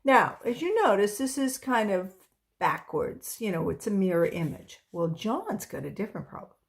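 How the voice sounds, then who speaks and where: A woman reading a storybook aloud to children as a volunteer, pausing to explain things to young listeners. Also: mid-range voice, big pitch swings.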